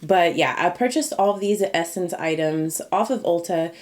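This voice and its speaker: A woman's voice: lowish voice, normal speed, a little mellow. Slightly nasal, congested woman vlogger. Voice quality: scratchy throat. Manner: chatty, rambling, talks directly to viewers. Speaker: beauty YouTuber. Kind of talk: casual makeup review vlog.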